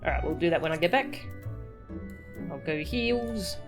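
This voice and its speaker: A woman's voice: on the low side, animated, unhurried, music in the background. A woman streaming a video game, chatting casually and trailing off and restarting as she thinks aloud. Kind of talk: casual chat while playing and reading game text aloud.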